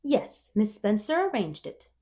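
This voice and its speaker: A woman voicing a calm, matter-of-fact farm woman in a storybook, composed and plain-spoken. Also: mid-range voice, measured pace, pitched above the performer's own voice. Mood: calm, firm.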